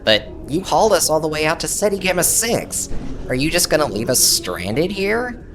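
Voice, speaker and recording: A man voicing an earnest, exasperated young crew member in a comedy audio drama, expressive and incredulous. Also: fairly high pitch, moderate pace, noisy background.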